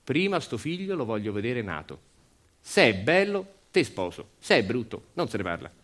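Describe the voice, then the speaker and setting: A man's voice: medium pitch, big pitch swings, moderate pace, fairly bright tone. A man playing an arrogant, vain factory boss in a stage story, with a Roman accent and a blustering, repetitive, emphatic way of bargaining. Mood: boastful bargaining.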